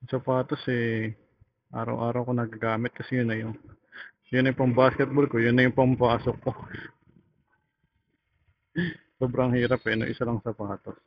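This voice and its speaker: A young Filipino man talks in an ordinary mid-range voice, rambling casually to his viewers as he rides. His speech is slangy, as if thinking out loud. The helmet intercom makes the sound slightly dull, with light road noise underneath. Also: mid-range voice, flat delivery, normal speed, long pauses. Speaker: motorcycle vlogger. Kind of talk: casual motovlog chat while riding. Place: outdoors on a motorcycle in traffic. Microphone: helmet intercom microphone.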